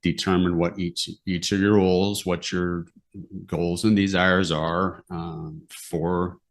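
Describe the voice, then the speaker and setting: A man's voice: low voice, little pitch movement, takes it slowly. A middle-aged American man chatting casually and giving advice, thinking aloud in short, hesitant phrases. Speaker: podcast guest, amateur horse owner.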